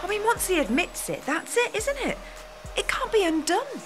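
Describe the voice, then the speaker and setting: An actress playing an anxious, apologetic British wife at the hairdresser's in an audio play, hesitant and flustered. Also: female voice, very high voice, sing-song, normal speed, music in the background. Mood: upset, flustered.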